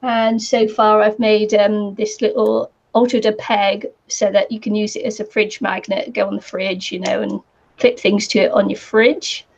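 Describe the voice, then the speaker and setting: A woman with a New Zealand accent chatting casually on a live craft stream, her voice croaky today, rambling with lots of ums and little laughs. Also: mid-range voice, flat delivery, normal speed, a little mellow. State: croaky voice from the heat. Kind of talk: casual chat while crafting. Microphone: computer or camera mic with a fan nearby. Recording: slightly dull lo-fi sound.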